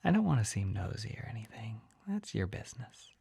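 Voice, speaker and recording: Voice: medium pitch, big pitch swings, no room sound, exaggerated voice. Shy, whispering apothecary shopkeeper played by an actor. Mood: gentle, helpful. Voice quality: soft, breathy whisper. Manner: hesitant, halting, apologetic, easily embarrassed. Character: shy, flustered, gentle apothecary shopkeeper selling poisons. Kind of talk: ASMR roleplay, whispered scripted acting.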